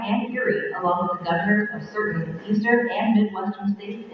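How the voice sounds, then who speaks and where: A solemn male newscaster as an actor plays him reads an emergency bulletin in a fairly high voice. The sound is dull and muffled, caught from a distance with heavy echo. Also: a little hiss or hum, natural voice. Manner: steady, formal bulletin reading. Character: grave male emergency broadcast announcer. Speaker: radio news announcer. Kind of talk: 1960s broadcast news delivery. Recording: dull, distant, echoey old film radio sound.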